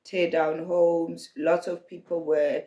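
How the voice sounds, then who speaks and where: A man's voice: a little high, flat delivery, takes it slowly, mellow, reverberant. A young South African man, hosting his own podcast, telling his life story in a hesitant way with many restarts and fillers. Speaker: podcast host. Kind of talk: personal storytelling monologue.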